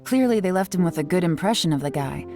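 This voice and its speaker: A woman's voice: on the low side, animated, music in the background. Poised, knowing woman investigator. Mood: shrewd, skeptical. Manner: measured, knowing, slightly theatrical. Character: poised, shrewd young woman investigator, playfully courteous to children. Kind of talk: scripted video game voice acting.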